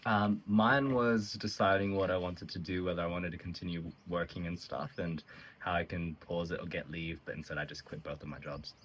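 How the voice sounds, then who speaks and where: Man, 20s, from Australia, very deep voice, matter-of-fact, run-on telling. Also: steady tone, normal speed, a bit bright, slight background noise, low-quality recording. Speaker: interviewee hiker.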